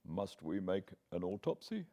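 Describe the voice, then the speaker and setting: A man's voice: low-pitched, very animated, a little slow, dark tone, heavily acted. A man voicing an earnest younger doctor in a gothic novel, grieving and indignant, articulate and urgent in his pleading. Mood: shocked.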